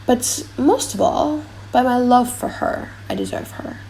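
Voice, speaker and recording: A woman's voice: on the high side, huge pitch swings, a little slow, dark tone, a little hiss or hum, rough recording. A woman voicing a proud, lovestruck foreign prince in a dramatic audiobook play, grandly thinking aloud. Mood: proud, deliberating. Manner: grandiose, self-deliberating.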